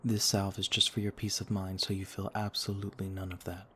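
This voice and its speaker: A man playing a gentle, ancient noble vampire in an ASMR roleplay, speaking in a courtly, soothing way. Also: sits low, completely flat delivery, fairly quick, slight background noise. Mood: soothing, courteous. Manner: courtly, formal, soothing, reassuring. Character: gentle noble male vampire, over a thousand years old, looks thirty-two.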